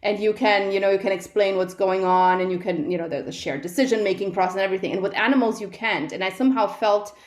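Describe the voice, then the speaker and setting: A woman's voice: mid-range voice, fast, hardly any pauses. Middle-aged woman, interview guest, reflective, restarts often, echoey room. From Italy. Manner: reflective, frequent restarts and quoted inner thoughts. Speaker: guest, medical professor. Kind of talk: conversational interview.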